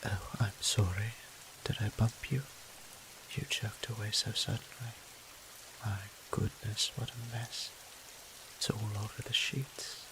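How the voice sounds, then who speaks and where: A man playing a gentle, doting vampire priest and healer in a roleplay audio drama, speaking softly in formal, old-fashioned, courteous phrasing. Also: fairly low pitch, flat delivery, a little slow, pauses often, noisy background, natural voice. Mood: worried, reassuring. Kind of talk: scripted roleplay audio drama, addressing the listener.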